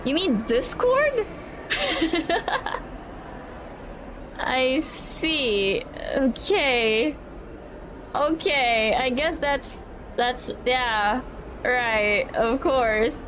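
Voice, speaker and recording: A woman from the Philippines, slightly raspy, chatty and giggly as she reads a visual novel aloud on stream. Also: sits high, lively intonation, very slow, long pauses, background noise. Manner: chatty, giggly, reacting to the game. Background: game music.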